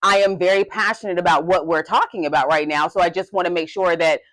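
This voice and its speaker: A young adult woman co-hosting a podcast, talking in an emphatic, passionate way with a loud voice that carries. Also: on the low side, steady tone, quick pace, dry recording, rough recording. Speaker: podcast co-host. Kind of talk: casual podcast discussion.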